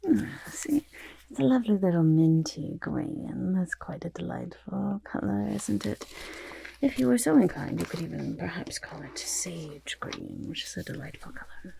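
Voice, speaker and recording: A woman voicing an eccentric, self-satisfied goblin artist in an ASMR roleplay, chatty and boastful, with a creaky voice that often drops into whispers. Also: lowish voice, expressive, dark tone, exaggerated voice, low-quality recording. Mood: musing, pleased. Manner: chatty, boastful, third-person self-talk, halting murmurs. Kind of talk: ASMR roleplay, personal attention.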